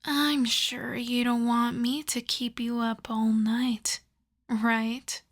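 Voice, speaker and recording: Girl doing a polite vampire lady for asmr roleplay, soft n breathy, bit high, really slow talking, coyly obsessed. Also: flat delivery. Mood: reassuring, measured. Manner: soft-spoken, courteous, measured, often breathy. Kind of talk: ASMR roleplay, addressing the listener.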